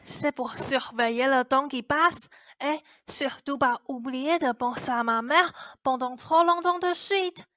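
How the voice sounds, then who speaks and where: A stubborn, defiant young girl voiced by a woman in a radio play, with a high, piercingly bright voice. She is blunt and insistent, speaks at an unhurried pace and. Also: no room sound, clean studio sound. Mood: earnest. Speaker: student actor. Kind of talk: radio drama acting.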